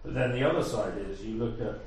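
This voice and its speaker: A man's voice: medium pitch, fast, creaky, darkish tone. A man in an audience thinking aloud, rambling and hesitant with many fillers. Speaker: audience member asking a question. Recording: echoey room from far off, faint noise.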